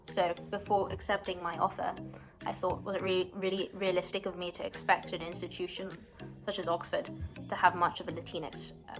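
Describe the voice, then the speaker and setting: A young woman in a soft, earnest way, sometimes pausing on fillers. Her voice sits a little low for a woman. Music plays clearly beneath her throughout. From London, England. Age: 20s. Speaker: university student. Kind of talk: personal story, read to camera.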